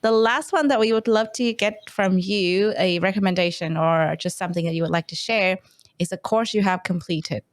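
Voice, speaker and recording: A woman hosting a podcast interview, friendly and affirming in a measured, conversational way. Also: medium pitch, no echo, clean studio sound. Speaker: podcast host.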